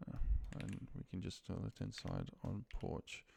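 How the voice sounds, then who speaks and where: Low, creaky man, casual tutorial. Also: very animated, unhurried, darkish tone, a little hiss or hum. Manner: informal, thinks aloud, trailing into mumbled asides. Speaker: trainer demonstrating a data task. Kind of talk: casual unscripted screen tutorial.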